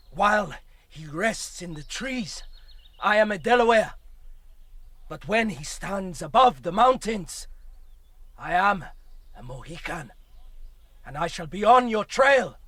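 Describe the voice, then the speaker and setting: An actor playing a proud, defiant young warrior in a radio drama, husky and intensely declamatory. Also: male voice, high voice, animated, takes it slowly, long pauses, bright, forceful tone, dry recording, heavily acted.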